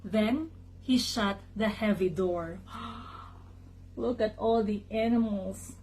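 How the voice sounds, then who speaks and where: A woman reading a picture book aloud to children in an expressive, dramatic way, pausing to chat to young listeners. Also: medium pitch, slight background noise, poor audio. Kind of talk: animated children's read-aloud.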